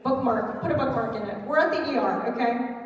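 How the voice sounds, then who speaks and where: Loud woman comic in an echoey hall. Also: fairly high pitch, a little fast, dull audio, noisy background. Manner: loud, chatty, self-mocking. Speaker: stand-up comedian. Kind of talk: stand-up comedy set. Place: large hall at a culture show. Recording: echoey hall, mic heard from far off.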